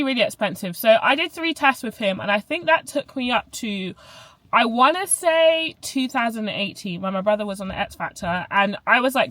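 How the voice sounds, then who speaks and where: A young woman with a Barbadian accent talks casually to her viewers in a slightly high voice, often stopping to correct herself. The sound is dry and close, with little room in it. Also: fairly bright tone, no echo, rough recording. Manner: chatty, self-correcting, lots of "like" fillers. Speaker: vlogger. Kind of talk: casual chat to camera.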